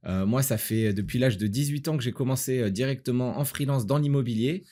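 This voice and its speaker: Man from southern France, medium pitch, podcast host, explanatory, casual, self-posed questions, real estate agent. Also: brisk, warm tone.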